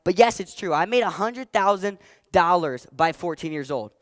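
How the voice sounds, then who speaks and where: A fourteen-year-old boy giving a motivational talk on stage, energetic and emphatic, repeating his key phrases. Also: sits high, moderate pace, no echo, studio quality. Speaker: motivational speaker. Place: stage before a live audience.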